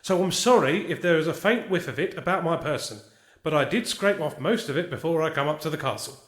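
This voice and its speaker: Man playing a blunt peasant, highish, animated voice. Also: fairly quick, roomy sound, close to an everyday voice. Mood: defensive. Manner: blunt, rough working-class speech. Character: blunt, aggrieved, lowly pig-farming peasant serf. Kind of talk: comedy audio drama acting.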